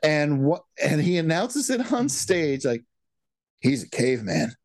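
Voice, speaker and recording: A man co-hosts a casual podcast, recapping a film scene by scene. His pitch swings widely as he talks, and he keeps restarting his sentences. The sound is dry and close. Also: big pitch swings, a little slow, no echo. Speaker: podcast co-host. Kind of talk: casual movie recap chat.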